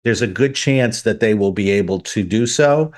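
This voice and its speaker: Male podcast co-host with a lowish voice who explains things and reads out news. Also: moderate pace. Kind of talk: casual podcast discussion.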